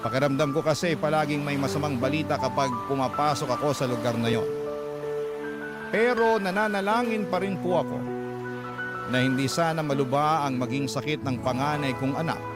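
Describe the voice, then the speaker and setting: A Filipino man with a fairly high voice narrates a dramatic radio story in the first person. Loud music plays underneath his reading. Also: a little fast.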